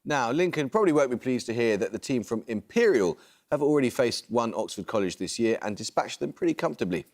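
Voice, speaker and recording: Brief: middle-aged male quizmaster, mid-pitched, crisp formal reading, studio broadcast sound. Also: animated, darkish tone, some chatter behind, a little hiss or hum. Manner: measured, precise, formal delivery. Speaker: quiz show host. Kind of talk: scripted quiz presenting. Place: television studio.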